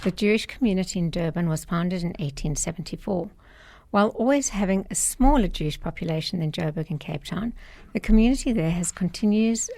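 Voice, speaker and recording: Gravelly-voiced woman radio host. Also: lowish voice, warm tone, dry recording. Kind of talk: radio interview.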